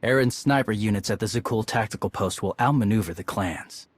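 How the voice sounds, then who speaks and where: An actor playing a terse, level-headed warrior in a video game, with a husky voice. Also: male voice, on the low side, brisk, no echo. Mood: pragmatic.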